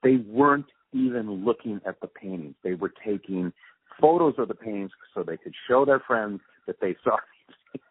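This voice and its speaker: Through a narrow, dry phone line comes an older man's low, husky voice. He chats in an easygoing, halting way, like a guest in a casual interview. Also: expressive. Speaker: interview guest, audio post-production supervisor. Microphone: phone or call line.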